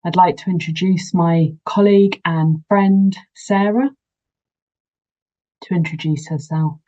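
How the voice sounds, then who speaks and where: A woman, a nurse giving a measured conference talk over a video call. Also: lowish voice, steady tone, mellow, highs cut off. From New Zealand. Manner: measured, formal greeting in Māori. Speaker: nurse practitioner candidate. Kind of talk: conference presentation.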